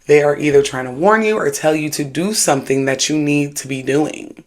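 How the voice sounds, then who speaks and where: A mid-pitched host with a noticeably creaky, fry-laden voice. The delivery is casual and emphatic, with a sassy, slangy edge, suited to spooky storytelling and spiritualist commentary. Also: normal speed. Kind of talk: casual commentary with read-aloud paranormal stories.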